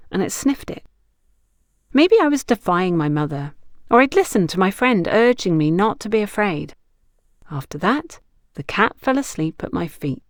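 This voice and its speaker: A woman's voice: mid-range voice, no room sound, clean studio sound, lightly acted. A woman narrator voicing a frank, teasing female confidante who gives knowing advice in an audiobook. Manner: playful, knowing, instructive. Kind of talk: audiobook character dialogue.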